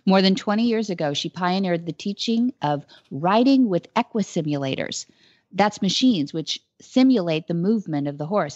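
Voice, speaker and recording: A woman hosts an interview show in a fairly low voice, chatty and loose. The sound is dry and close with a dull, lo-fi edge. She talks easily between guest introductions. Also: darkish tone, no echo. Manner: chatty, with fillers and restarts. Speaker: radio show host. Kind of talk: casual interview. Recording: dull lo-fi audio.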